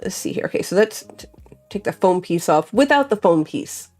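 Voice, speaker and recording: A woman's voice: fairly low pitch, animated, normal speed, a little mellow, faint music behind. A young woman chatting casually as she crafts, thinking aloud and filling her talk with "like.". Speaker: crafting vlogger. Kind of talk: casual chat while crafting.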